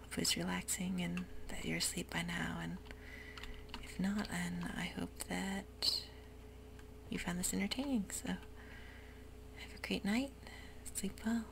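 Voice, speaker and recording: A woman speaks in a slow, gravelly whisper, hushed and soft, with many little ums. Her voice sits a touch low, and keys click behind her as she plays a game at her desk. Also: fairly even intonation, noisy background. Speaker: ASMR gaming creator. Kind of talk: whispered ASMR gameplay narration.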